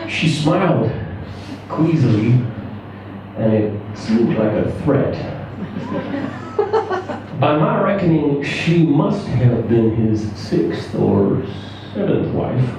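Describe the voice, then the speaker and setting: Slow-paced man reading in an echoey room. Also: mid-range voice, very animated. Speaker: story reader. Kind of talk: live dramatic reading of scripted first-person narration. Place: indoor live reading before an audience. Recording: very echoey room, distant mic, faint noise.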